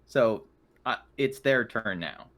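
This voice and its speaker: A man's voice: mid-range voice, animated, takes it slowly, a bit bright. A man chatting casually as a player at a tabletop roleplaying game, talking through his moves.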